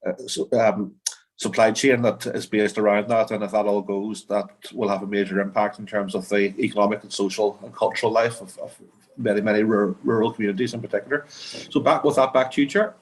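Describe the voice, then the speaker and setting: A man's voice: lowish voice, steady tone, brisk, breathy edge, rough recording. A man on a committee summing up points at a hearing, hesitating with many "um"s. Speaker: committee member.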